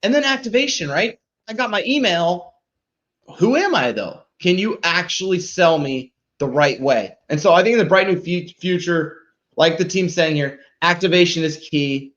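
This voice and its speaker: A man's voice: high-pitched, lively intonation, moderate pace, fairly bright tone. A man hosting a live show, casually presenting and critiquing in an emphatic, informal way, often tagging points with a question for agreement. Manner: peppers talk with tag questions seeking agreement. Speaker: host of a live marketing show. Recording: dull lo-fi audio.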